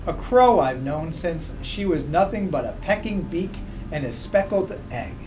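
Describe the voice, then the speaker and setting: Slow-paced man reading over music. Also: fairly high pitch, sing-song, poor audio. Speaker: story reader. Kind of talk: children's book read aloud. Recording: music playing behind. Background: background music.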